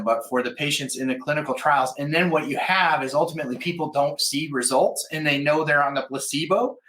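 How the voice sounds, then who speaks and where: A man's voice: fairly high pitch, pushed and bright. A man hosting a live stream, giving enthusiastic, conversational news commentary and explanations in a casual style. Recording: echoey, roomy sound.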